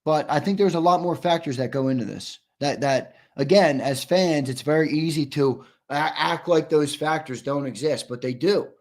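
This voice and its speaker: A hoarse-sounding man hosting a live sports talk show, pushing back hard on viewer comments. He pitches his voice a little high and keeps hammering his points with repetition. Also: normal speed, few pauses. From United States. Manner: argumentative, emphatic, repeats himself for stress.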